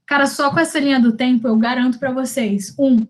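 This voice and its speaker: A woman's voice: sits high, steady tone, fairly quick. Slangy Brazilian woman leading a workshop. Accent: Brazilian Portuguese. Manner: casual and slangy, with frequent restarts. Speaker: career workshop presenter. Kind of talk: informal workshop talk.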